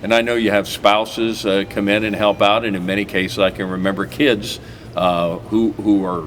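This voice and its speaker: A man's voice: on the low side, little pitch movement, normal speed, a bit bright. A man hosting a local TV interview, talking in a rambling way with many fillers and restarts. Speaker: talk show host. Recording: noisy recording.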